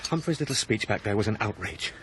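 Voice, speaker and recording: A man's voice: on the low side, expressive, quick pace, background noise, natural voice. An actor playing an indignant, protective house guest in a radio drama, an Englishman with an intense, insistent way of talking. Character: earnest, indignant younger male house guest, protective and anxious. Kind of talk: 1990s radio drama acting.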